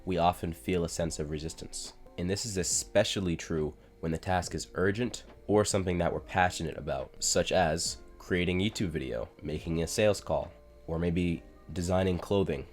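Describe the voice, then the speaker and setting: A man with a very low voice talks straight to his viewers in a laid-back explainer vlog. His voice is stuffed up and congested from a cold. He speaks conversationally and fills his sentences with "like" and "you know.". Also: moderate pace, faint music behind. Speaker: self-improvement YouTuber.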